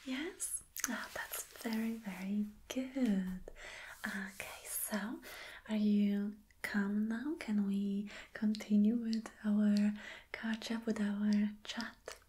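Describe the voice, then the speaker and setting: Slow, whispering flirty boss lady, acted by a woman. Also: medium pitch, fairly even intonation, close to an everyday voice. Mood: soft, reassuring. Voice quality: soft, breathy whisper. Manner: gentle, coaxing, slow and deliberate. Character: flirty, soothing female boss reassuring an employee. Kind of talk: soft-spoken whispered ASMR roleplay. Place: small dim indoor room.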